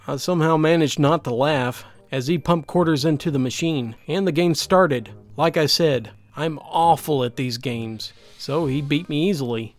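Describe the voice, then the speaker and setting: A man reads first-person stories aloud, his voice sitting a little high and lively in its delivery. Soft music plays faintly behind him. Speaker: storyteller. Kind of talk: scripted narration of online stories.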